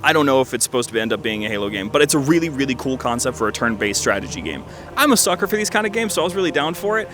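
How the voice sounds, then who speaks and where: A man's voice: medium pitch, very animated, rapid-fire. Casual man joking through game commentary, music behind. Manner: self-deprecating, slangy, rambling. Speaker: video game reviewer and host. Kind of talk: casual, joking commentary over gameplay footage.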